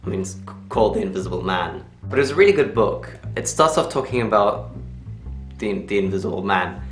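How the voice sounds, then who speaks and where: A young man chatting casually in a personal vlog, telling things in an animated way. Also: lowish voice, a little fast. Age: young adult. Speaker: vlogger. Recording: roomy echo, music behind.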